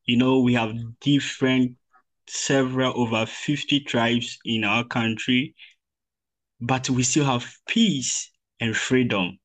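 A man's voice: mid-range voice, steady tone, takes it slowly, fairly bright tone, poor audio. A man with a Ghanaian accent giving an earnest answer as a panel guest.

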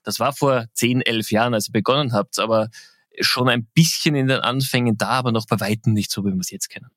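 A man's voice: medium pitch, brisk, fairly bright tone, no room sound, clean studio sound. A man hosting a podcast, introducing the episode and asking his guest questions with enthusiasm. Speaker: podcast host.

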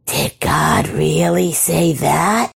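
A woman's voice: sits low, creaky, breathy edge, full character voice. A woman voicing a sly tempting snake creature in a whispery, coaxing storybook voice. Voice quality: whispery, hushed. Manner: slow, drawn-out, coaxing.

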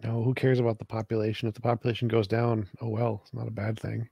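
A man's voice: on the low side, flat delivery, fast talker, vocal fry, warm tone, breathy edge, no echo. A man debating online, answering questions in a blunt, dismissive way.

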